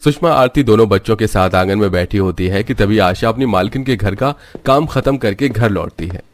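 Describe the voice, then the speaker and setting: A man's voice: lowish voice, moderate pace, no echo. A man narrating a scripted cartoon story as the storyteller.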